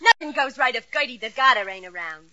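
A woman's voice: on the high side, animated, quick pace, sharp tone. An actress playing a short-tempered female gangster boss in an old radio comedy, snarling slangy orders. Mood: angry, threatening. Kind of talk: 1940s-50s radio comedy acting. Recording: dull old radio recording.

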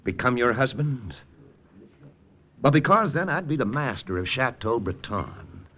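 An actor playing a wry, hard-boiled American army lieutenant in old-time radio drama, clipped and slangy. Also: male voice, mid-range voice, expressive, unhurried, sharp tone. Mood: urgent, persuasive. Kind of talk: 1940s-50s radio drama acting and narration. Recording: vintage radio broadcast with faint music.

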